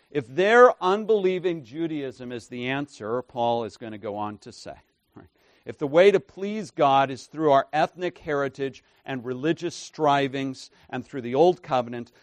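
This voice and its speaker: A man's voice: medium pitch, no echo. Emphatic preacher man, dry sound. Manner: emphatic, tags points with "right?"; loudness swings from soft to forceful. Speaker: pastor. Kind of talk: expository sermon.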